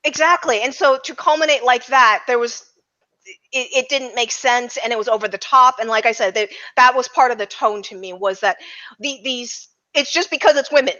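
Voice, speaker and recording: Bright, high-voiced woman on lo-fi audio. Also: moderate pace. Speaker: podcast host leading a roundtable. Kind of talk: casual discussion, movie review. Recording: dull, lo-fi audio.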